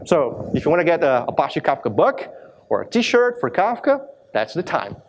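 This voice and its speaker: A man from Brazil in his thirties with a strong Brazilian accent, giving a lively live demo talk at a conference, with many restarts and self-deprecating asides. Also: a little high, moderate pace, background noise. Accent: Brazilian Portuguese. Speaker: conference speaker. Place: large conference hall with audience.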